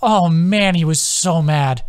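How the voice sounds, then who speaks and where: Audiobook soldier guy, acted by a male narrator, gleeful, excited, pitch jumping up, dry close sound. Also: slow, clean tone, dry recording. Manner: laughing, excited, informal, swearing storyteller. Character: gleeful teasing soldier man telling a raucous story.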